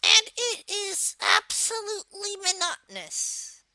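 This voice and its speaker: A cheeky cartoon villain kid, talks through a software tutorial. The voice is extremely high and lively, recorded dry and close. The delivery is casual and halting, full of filler words. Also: female voice, dry recording, heavily acted. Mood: casual, explaining. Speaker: tutorial presenter.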